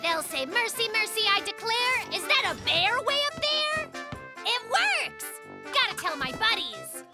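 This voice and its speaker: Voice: very high voice, big pitch swings, a little slow, heavily acted. An actor voicing an eager, boastful young brown bear inventor in a children's cartoon, with a childlike voice. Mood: eager, proud. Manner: boastful, exuberant, playful catchphrases. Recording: music behind the voice. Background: children's cartoon music.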